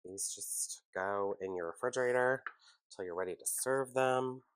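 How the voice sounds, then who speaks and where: A man hosting a casual home baking tutorial, chatty and relaxed, with a congested, sniffly, nasal voice from a cold. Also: mid-range voice, moderate pace, clear, resonant tone, dry recording. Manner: chatty, relaxed, self-deprecating asides.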